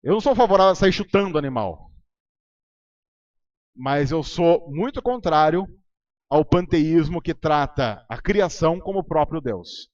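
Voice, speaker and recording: A man's voice: a little high, lively intonation, a little slow, a little mellow, no echo, highs cut off. A man preaching a sermon as pastor in a Brazilian Portuguese accent, talking conversationally with sarcastic asides and ironic voices. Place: church hall.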